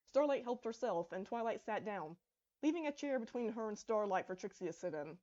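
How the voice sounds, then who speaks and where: A woman's voice: medium pitch, expressive, a little fast, a little mellow, dull audio. A woman reading her own fan fiction aloud as scripted story narration.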